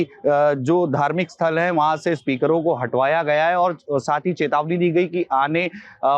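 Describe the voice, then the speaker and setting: A man reporting news on location without a script, halting often with drawn-out hesitations. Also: a little high, no room sound. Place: on location outside a mosque. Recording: dull, muffled lo-fi field audio.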